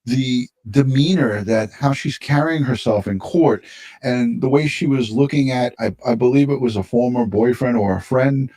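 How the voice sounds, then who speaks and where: A man's voice: mid-range voice, a little mellow. A man giving casual true-crime commentary as a live stream panelist, opinionated and repeating himself for emphasis.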